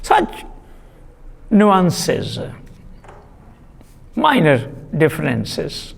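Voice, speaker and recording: Slow, gravelly male lecturer in a reverberant hall. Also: fairly high pitch. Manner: deliberate, repeats key terms, frequent uh fillers. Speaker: literature lecturer. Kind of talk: classroom lecture.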